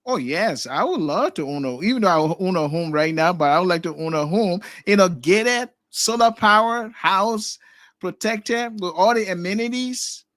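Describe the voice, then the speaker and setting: A man hosting a casual podcast discussion, speaking in a relaxed way with some hesitations. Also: high-pitched. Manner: relaxed, hesitant, fills pauses with um and uh.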